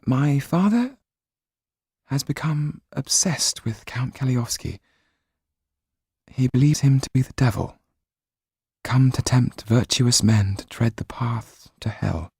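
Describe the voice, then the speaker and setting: A man voicing a shy, anxious, wistful young gentlewoman in an audiobook, with a soft, breathy, hesitant and refined delivery. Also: medium pitch, no echo, studio quality, pitched above the performer's own voice, full character voice. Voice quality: soft, breathy, at times whispered.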